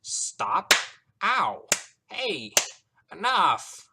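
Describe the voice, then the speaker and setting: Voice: medium pitch, very animated, moderate pace, heavy background noise, voice pushed up. A man voicing a smug, mischievous male trickster from a story, theatrical and teasing with mocking sweetness. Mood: pained. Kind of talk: character voice in narrated story.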